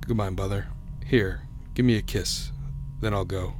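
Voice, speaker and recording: A man's voice: low-pitched, fairly even intonation, a little slow, over music. An actor playing a haunted young motorist who tells his eerie story in a radio drama, grave and suspenseful. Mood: breezy, reassuring. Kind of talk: radio drama narration and acting.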